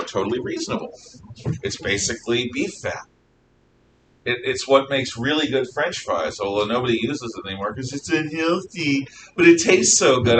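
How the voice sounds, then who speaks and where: A man lecturing a class as a history teacher, conversational, animated and emphatic in his explanations. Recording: noisy rumbling room, distant mic.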